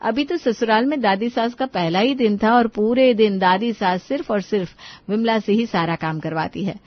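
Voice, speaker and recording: A woman's voice: mid-range voice, moderate pace, no room sound. Woman narrating, dry and slightly muffled. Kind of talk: scripted cartoon story narration.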